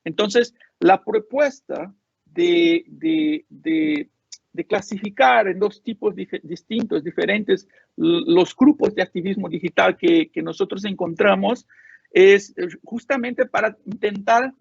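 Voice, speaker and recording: Gravelly, husky man lecturing over a call. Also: high voice, dry recording. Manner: halting, many fillers and restarts. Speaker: conference speaker. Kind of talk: academic talk with Q&A. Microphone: video call. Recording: dull lo-fi call audio.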